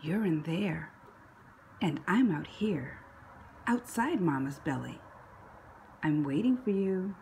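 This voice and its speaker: A woman reading a children's story aloud expressively, with a breathy, husky voice. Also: fairly low pitch, lively intonation, takes it slowly, pauses often, darkish tone, a little hiss or hum. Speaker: picture book read-aloud narrator.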